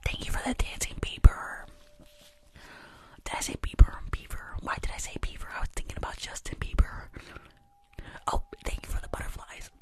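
A woman in her twenties doing hushed ASMR roleplay and livestream chat, murmuring repetitive little phrases close to the microphone. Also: moderate pace, long pauses, low-quality recording. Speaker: ASMR creator and livestream host. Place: small indoor room at home. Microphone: close desktop microphone, earphones on.

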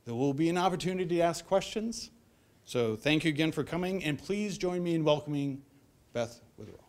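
A man's voice: a little high, expressive, brisk, a little mellow, slight background noise. A middle-aged American man reading a prepared introduction as host of a public talk. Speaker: event host introducing a speaker. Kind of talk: prepared introduction speech, read aloud. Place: library event room, at a lectern.

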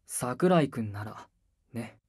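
A male actor voicing a cool, low-key young male idol who speaks in a flat, terse, unimpressed way, recorded on a binaural mic. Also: slow pace, breathy edge, no echo, studio quality. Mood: deadpan. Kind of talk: anime voice drama.

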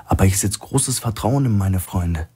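Man as calm, calculating hostage, husky voice. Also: fairly low pitch, normal speed, pitched above the performer's own voice. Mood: guarded, calm. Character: calm, calculating male hostage plotting escape.

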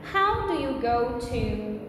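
High, lively woman teaching English slowly. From Belarus. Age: 30s. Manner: simple short questions, encouraging, repeats answers. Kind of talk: spoken English drill with a student. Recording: echoey room with loud ambient noise.

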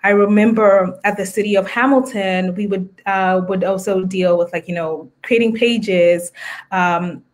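A woman's voice: mid-range voice, fairly even intonation, unhurried. Zimbabwean woman on a video call. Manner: thoughtful, many restarts and fillers. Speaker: guest. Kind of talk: casual interview talk. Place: indoor room at home.

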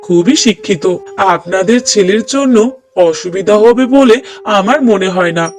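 A man's voice: sits high, lively intonation, normal speed, faint music behind. A man playing an obliging village matchmaker in a cartoon drama, talking in a reassuring, persuasive way.

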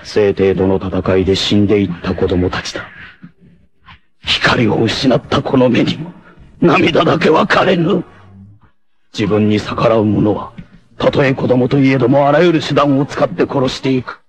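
An actor playing a noble, fatherly blind rebel warrior in an anime, with a solemn, formal, measured delivery. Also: mid-range voice, lightly acted. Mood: bitter, grieving. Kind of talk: dramatic anime acting.

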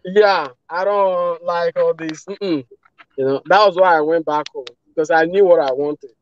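A Nigerian man in his thirties talking casually as a guest on a live video call, relaxed, with many "you know" fillers. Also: on the high side, moderate pace, highs cut off. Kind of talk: casual testimonial. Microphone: phone video call.

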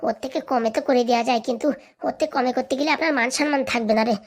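Cartoon comedy: actress as scheming, mouthy village lad, high voice, breakneck sarcastic delivery. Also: female voice. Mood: persuasive, bossy. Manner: sarcastic, blunt, quick-tongued. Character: cheeky, sarcastic young village man, scheming and bossy.

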